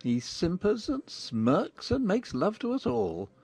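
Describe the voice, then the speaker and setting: A woman voicing a sardonic older gentleman in a dramatized audiobook, with a pompous, drawling manner. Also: mid-range voice, sing-song, takes it slowly, gravelly, breathy edge, no echo, soft background music, full character voice. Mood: mock admiration. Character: dry, sardonic older gentleman, a mocking father. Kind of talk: dramatized audiobook character acting.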